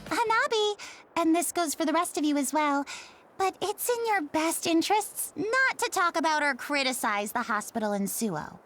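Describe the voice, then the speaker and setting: A woman's voice: very high-pitched, sing-song, moderate pace, fairly bright tone, exaggerated voice. An actress voicing a devoted, sly young woman officer in an English-dubbed anime game, fawning and teasing. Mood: matter-of-fact. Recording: game music behind the voice.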